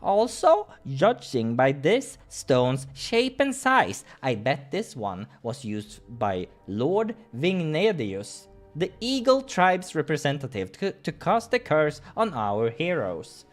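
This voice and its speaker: A man voicing a serious, scholarly male adventurer who explains things in a deliberate, emphatic way in a visual novel. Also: a little high, very animated, soft background music, pitched above the performer's own voice. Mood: intent, explaining.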